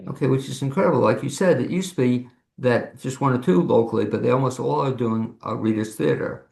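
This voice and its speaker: A man with a very creaky, gravelly voice hosts an interview in a conversational way. His pitch is average for a man, and the room echoes around him over a video call. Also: fairly quick, a little mellow. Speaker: interview show host. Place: home office studio, on a video call.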